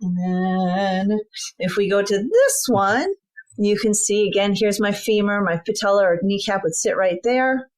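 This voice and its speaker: A woman in her fifties talks a listener through a topic patiently, one step at a time. She speaks at an ordinary pitch for a woman and in a steady explaining manner, as if pointing things out on a model. She is a guest in an interview. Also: unhurried. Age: 50s. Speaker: veterinary sports medicine guest.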